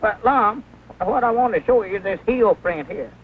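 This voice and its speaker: An excitable, gullible Southern hillbilly in an old comedy picture. He has a rural drawl and panics easily. The sound is tinny and narrow, with clear noise behind it. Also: high voice, normal speed. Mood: puzzled, stubborn. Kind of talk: 1940s film comedy acting.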